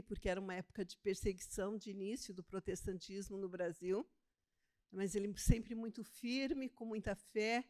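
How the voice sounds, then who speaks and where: Older Brazilian woman, laughing guest. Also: mid-range voice. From Northeast Brazil (Pernambuco). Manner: warm, self-deprecating, laughs easily. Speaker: guest author and theologian. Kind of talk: conversational interview.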